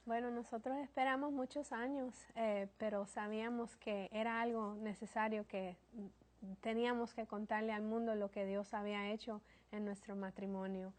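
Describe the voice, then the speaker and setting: A woman, telling her testimony as a guest in an earnest, steady way. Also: fairly high pitch, normal speed. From Colombia.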